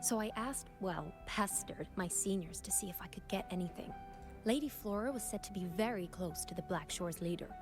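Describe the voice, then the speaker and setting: A woman's voice: medium pitch, normal speed, close to an everyday voice. A woman voicing a curious, persistent researcher in a video game, recounting what she knows in a thoughtful way. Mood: intrigued, thoughtful. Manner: thoughtful storytelling. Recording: game dialogue over clear music.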